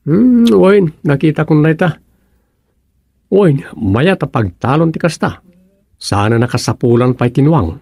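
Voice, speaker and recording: Actor playing a creaky, high-voiced Ilocano son. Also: male voice, expressive, unhurried, a little mellow, no echo, heavily acted. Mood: chatty. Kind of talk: Ilocano radio comedy drama acting.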